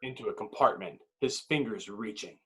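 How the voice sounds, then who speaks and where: A man's voice: lowish voice, moderate pace. A man narrating a screenplay's action with intense, clipped dramatic phrasing. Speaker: narrator reading stage directions.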